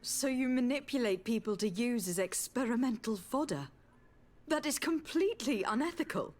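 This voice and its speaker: A woman's voice: on the high side, lively intonation, moderate pace, darkish tone. An actress playing a principled noblewoman envoy in a fantasy video game, questioning and reproaching with formal composure. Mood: reproachful, questioning.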